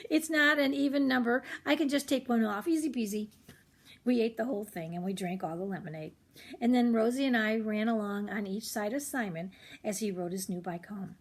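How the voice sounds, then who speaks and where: Husky older woman reading a children's storybook. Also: on the high side, normal speed. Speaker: children's read-aloud host. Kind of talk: expressive storybook narration with chatty asides to children.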